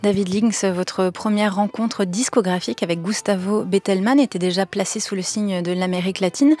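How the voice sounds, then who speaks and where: A woman's voice: mid-range voice, moderate pace, darkish tone. A woman radio host with a mid-range voice. She asks her questions in a composed, articulate way. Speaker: radio interviewer. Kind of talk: interview questions.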